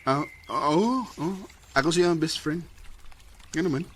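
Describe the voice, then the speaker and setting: A man's voice: a little high, expressive, measured pace, a bit bright. An actor playing an evasive, easily flustered young male student in a radio drama, with a Filipino Bisaya accent and a hesitant, stammering delivery. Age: young adult. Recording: noisy radio drama recording with ambience.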